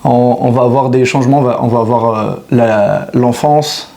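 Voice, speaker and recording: Mellow-voiced man in his thirties. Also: medium pitch, fairly even intonation, moderate pace, vocal fry. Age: 30s. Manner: hesitant, many restarts and fillers, reflective. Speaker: fitness coach. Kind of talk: casual monologue to camera.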